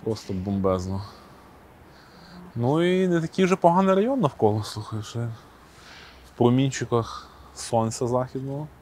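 A man's voice: medium pitch, lively intonation, a little mellow, slight background noise. A man hosts a casual walking interview, enthusiastic and playful. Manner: enthusiastic, playful, quick remarks. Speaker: vlog host. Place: rooftop outdoors, then indoor hall.

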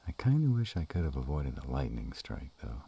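A man playing an affectionate, bashful, gruff were-dragon lover in an intimate romantic roleplay audio, with a slow, teasing murmur. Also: sing-song, warm tone, full character voice. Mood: tender. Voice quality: very deep and husky.

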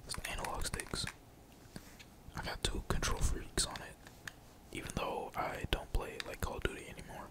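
A man's voice: normal speed, long pauses. Whispering young man gaming. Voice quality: breathy, unvoiced whisper. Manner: slangy, profane, rambling. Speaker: gaming streamer. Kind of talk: whispered casual gameplay commentary.

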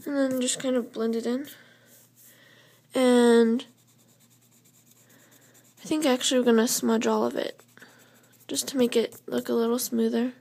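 A young woman with a slightly hoarse voice, chatting loosely through a step-by-step drawing tutorial while she sketches. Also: a little high, level tone, measured pace, pauses often, warm tone. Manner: chatty, loose, with fillers and self-corrections. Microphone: camera over the drawing paper, speaker off frame.

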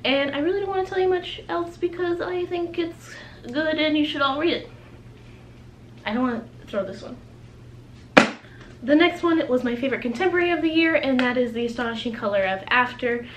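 A woman's voice: high-pitched, brisk. A young adult woman talking casually and conversationally to viewers as a book vlogger. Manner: informal, conversational. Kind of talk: casual chatty video blog. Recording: roomy echo, faint background noise.

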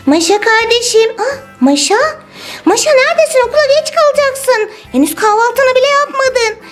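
A woman voicing a caring, slightly bossy big sister girl in a toy play video, with a high, girlish, teacherly voice. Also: animated, moderate pace, loud music behind the voice.

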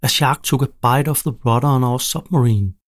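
A man voicing a warm, grateful cartoon-animal parent in a storybook, affectionate and relieved. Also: mid-range voice, moderate pace, no echo, clean studio sound, voice pushed up, lightly acted.

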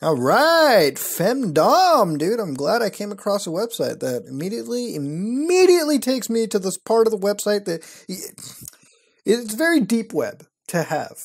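A man's voice: high-pitched, big pitch swings, moderate pace, creaky, husky. A young man hosting his show from a small room at night, reacting to websites with wry, profane commentary. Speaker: host. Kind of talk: casual commentary reading websites.

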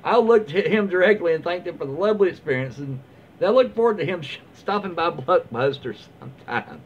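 A middle-aged man casually reading online stories aloud and adding his own comments, in an informal, halting way. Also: high-pitched, expressive. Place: small room at home, indoors, seated in armchair. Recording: dull lo-fi home recording, faint noise.

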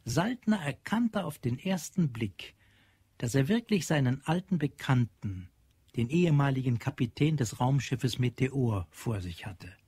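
A man's voice: mid-range voice, animated, unhurried, darkish tone, slightly rough, dry recording. A man narrating a science fiction radio drama in a sober, scripted storytelling manner. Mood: measured.

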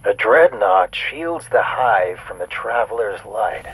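A man playing a grave, arcane scholar reciting a journal in a video game, slow and portentous. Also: fairly low pitch, lively intonation, a bit bright, husky, exaggerated voice, rough recording.

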